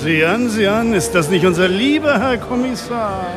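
High-voiced, slimy, camp society man. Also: animated, normal speed. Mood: oily mockery. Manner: mincing, sugary, condescending. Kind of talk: radio drama acting. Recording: party music behind the voice.

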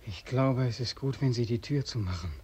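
Husky, despairing young war returnee. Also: male voice, medium pitch, a little fast, darkish tone, no echo. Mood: shocked, despairing. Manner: anguished, rhetorical, repeating himself. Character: despairing war-returned young man Beckmann, bitter and exhausted. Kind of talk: German radio drama acting.

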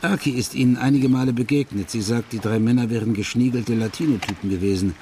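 A man's voice: mid-range voice, fast, warm tone, close to an everyday voice. Casting: man to play ironic ex-cop in exile, radio crime drama, mid-range voice, easy storytelling manner, light noise behind. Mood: dry irony. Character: Dutch ex-police detective, wry laid-back man in exile.

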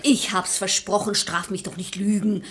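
A woman's voice: medium pitch, steady tone, normal speed, close to an everyday voice. A woman playing a poised, shrewd married lady who speaks articulate verse in a radio comedy. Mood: appeasing. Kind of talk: German radio play of classical verse comedy.

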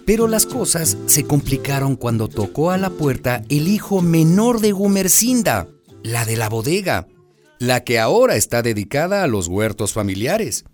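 A man narrating a neighbourhood radio drama as an expressive storyteller, in a scripted style. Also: a little high, normal speed, faint music behind. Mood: storytelling.

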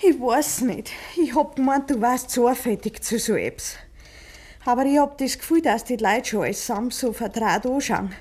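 An actress playing an anxious, probing village wife in a 1950s Bavarian dialect radio play, speaking with grave insistence. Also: female voice, a little high, moderate pace, a little mellow, slightly rough. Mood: urgent pleading.

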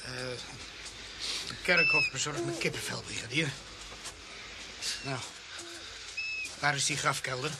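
An actor playing a hesitant, nervous young police constable in a radio play. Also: male voice, mid-range voice, expressive, a little slow, gravelly, long pauses. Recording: very noisy radio drama recording.

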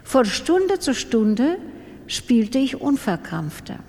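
Higher-voiced woman reading, in a hall. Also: very animated, slow pace, a little mellow. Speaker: reader of texts in a radio production. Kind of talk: scripted literary reading.

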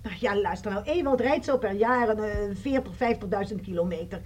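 A woman's voice: medium pitch, fairly quick, breathy edge, far from the mic, close to an everyday voice. An actress playing a talkative woman rambling on a payphone in a radio play. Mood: chatty. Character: chatty woman gossiping on a payphone. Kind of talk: 1960s radio play acting.

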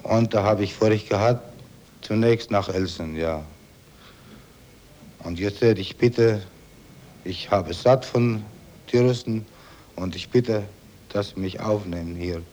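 A man giving a personal account in halting, simple, broken phrases. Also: fairly low pitch, a little mellow. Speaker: returned prisoner of war. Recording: old recording with faint noise.

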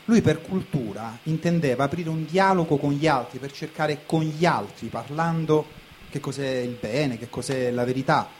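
A man in his late thirties delivering a conversational spoken theatre monologue, pausing and pressing on certain words. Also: a little high, close to an everyday voice. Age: late 30s. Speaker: stage performer, writer. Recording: clearly noisy live recording.

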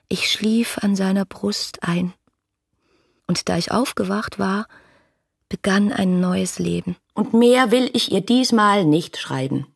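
An actress playing an ardent young woman in love, narrating her letters in a breathy, dreamy voice in a German radio play. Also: on the low side, heavily acted. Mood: dreamy, intimate. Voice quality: breathy, often near whispering.

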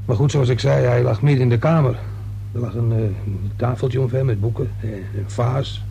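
A nervous, rambling working-class man in a radio drama played by an actor. His voice is creaky and mellow, hesitant and colloquial, with a faint hiss on the recording. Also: medium pitch, fairly even intonation, moderate pace, natural voice, rough recording. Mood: gossipy. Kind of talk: Dutch radio play acting.

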